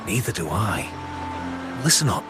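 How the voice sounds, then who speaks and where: A senior henchman in a video game, busy and brusque. His voice sits on the low side and his delivery is curt and no-nonsense. Music runs clearly behind him. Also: sing-song, a little slow. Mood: brusque, impatient. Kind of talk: scripted video game character dialogue.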